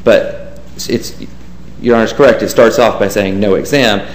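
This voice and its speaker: A man's voice: fairly low pitch, moderate pace. A man giving a formal, deferential courtroom argument as an attorney. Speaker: government attorney. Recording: echoey courtroom with faint hiss.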